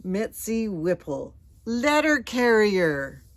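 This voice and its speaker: A friendly letter carrier from a picture book, voiced by a woman reader. The delivery is warm and emphatic, with slow, deliberate phrasing. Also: medium pitch, big pitch swings, dry recording, clean studio sound, pitched above the performer's own voice. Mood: tender invitation. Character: friendly letter carrier seeking a loyal companion. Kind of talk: storybook character voice, letter read aloud.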